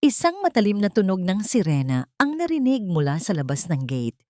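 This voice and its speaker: A woman's voice: medium pitch, huge pitch swings, moderate pace, darkish tone, no echo, studio quality. A woman narrating a scripted drama in a theatrical, suspenseful way.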